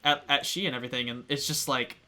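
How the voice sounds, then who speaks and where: A man's voice: mid-range voice, steady tone, quick pace, sharp tone. A young man hosting a stream, chatting casually with frequent laughter and filler words. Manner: laughs easily, lots of fillers. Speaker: stream host. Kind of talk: casual chat.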